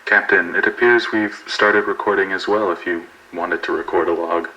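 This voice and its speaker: Guy doing a deep voiced spaceship pilot, crisp bright edge, calm and by the book. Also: normal speed, muffled recording. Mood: businesslike. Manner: procedural, matter-of-fact. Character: dutiful, calm male pilot commander of a spacecraft. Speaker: pilot and commander. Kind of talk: analog horror space audio drama.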